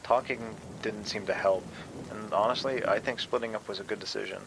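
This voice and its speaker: A man playing an awkward, apologetic young friend on the phone in an audio drama, speaking in a halting, hesitant way. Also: on the low side, steady tone, gravelly, background noise.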